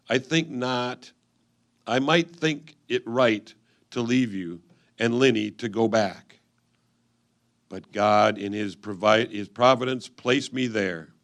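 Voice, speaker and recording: Male reader for reader's theatre: historical letters, solemn, measured, with noticeable gravel in the voice. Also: medium pitch, a bit bright.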